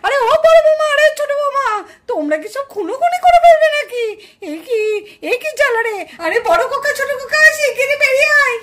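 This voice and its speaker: Woman playing fretful, high-voiced mother-in-law. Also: expressive, a little fast, heavy background noise. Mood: alarmed. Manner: fretful, pleading, lamenting. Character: exasperated older village mother-in-law, pleading for peace. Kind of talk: puppet cartoon family drama acting.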